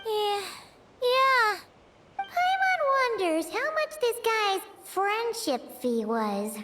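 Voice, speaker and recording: Tiny fairy companion, extremely high squeaky voice, wildly swooping pitch, excitable, blunt, anime game. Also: female voice, very slow, noisy background, exaggerated voice. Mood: wry, skeptical. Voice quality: squeaky, childlike. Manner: refers to self in third person, exclamatory. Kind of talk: anime-style game voice acting. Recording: music behind the voice.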